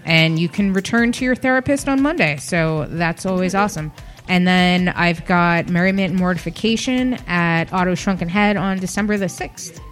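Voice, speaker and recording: A woman's voice: fairly low pitch, moderate pace, faint music behind. A woman comedian who produces shows, talking casually and frankly on a podcast. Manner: frank, chatty, with fillers.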